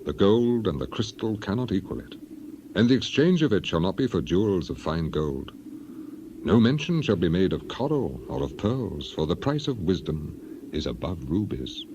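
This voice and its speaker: An actor playing Job, a solemn suffering man of the Old Testament, in a dramatized King James Bible reading, with grave, declamatory, measured phrasing. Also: sits low, muffled recording. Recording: clearly noisy, birds and ambience behind.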